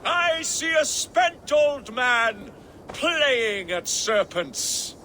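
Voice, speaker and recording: Defiant scornful king, very slow declaiming, bright forceful tone, video game drama. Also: extremely high pitch, very animated, a little hiss or hum, heavily acted. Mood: haughty defiance. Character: haughty defiant male British king, scornful of invaders.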